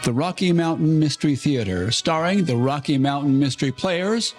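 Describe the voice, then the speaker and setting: A man's voice: on the high side, expressive, moderate pace. Male radio announcer over music. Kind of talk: old-time radio show announcing. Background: clear music.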